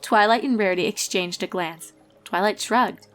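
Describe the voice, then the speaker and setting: A woman's voice: mid-range voice, normal speed, a bit bright, soft background music. A woman reading fan fiction aloud as a scripted story narrator.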